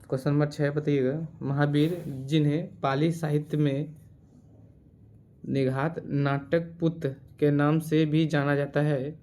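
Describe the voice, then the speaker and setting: A man teaching through exam quiz questions, reading options and repeating answers deliberately. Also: on the high side, warm tone.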